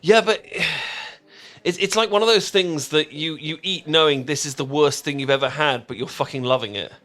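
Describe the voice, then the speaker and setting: Actor as chatty, bashful English boyfriend. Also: male voice, on the high side, normal speed, fairly bright tone, close to an everyday voice. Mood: enthusiastic, teasing. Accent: British English. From England. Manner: hesitant, stammering, conversational, enthusiastic. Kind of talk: romantic boyfriend roleplay ASMR audio.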